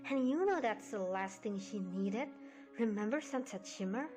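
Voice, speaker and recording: A woman's voice: medium pitch, lively intonation, normal speed, over music, natural voice. A woman voicing a friendly, loyal mare pony who speaks politely and casually.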